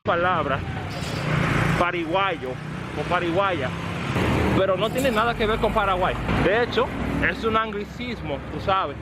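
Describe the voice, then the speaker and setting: A Dominican man with a high voice explains slang to the camera on a city street, friendly and mixing in English phrases. Heavy traffic noise surrounds him outdoors, and the pitch sits high for a man. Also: expressive, a little slow, long pauses. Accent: Dominican Spanish. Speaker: street vlogger.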